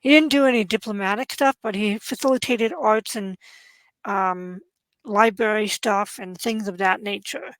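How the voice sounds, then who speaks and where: A woman interviewer asking questions in relaxed chat, reacting eagerly to her guest. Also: medium pitch, normal speed, no echo, low-quality recording. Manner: eager, responsive, lots of short reactions.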